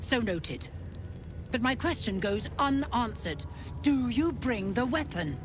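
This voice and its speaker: A stern githyanki commander. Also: female voice, on the high side, expressive, takes it slowly. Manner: commanding, clipped and formal. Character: stern female githyanki creche commander, cold and proud. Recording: game audio with music behind.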